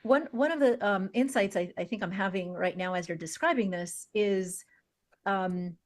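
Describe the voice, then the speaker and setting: A woman hosts a talk show in a mid-pitched, ordinary voice. She thinks aloud and fills her pauses with plenty of "um" as she moves the talk along. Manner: thinks aloud with many "um" fillers. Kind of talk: conversational interviewing.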